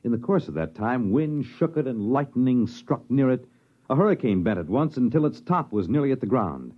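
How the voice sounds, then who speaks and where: A man telling a story in a vintage radio announcement, deliberate, wry and expressive. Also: medium pitch. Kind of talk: dramatic storytelling radio public service message. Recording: dull old-time radio recording.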